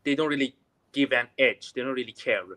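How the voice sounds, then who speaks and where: A man from Iran with an Iranian accent, calling in to practise English and often pausing on "you know". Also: medium pitch, fairly even intonation, pushed and bright. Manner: hesitant, many "you know" fillers. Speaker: learner calling in. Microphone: remote call audio.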